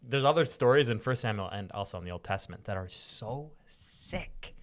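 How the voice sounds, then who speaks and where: A man's voice: medium pitch, expressive, normal speed. A young man co-hosting a podcast, chatting about Bible stories in an informal, slangy, enthusiastic way. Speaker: podcast co-host.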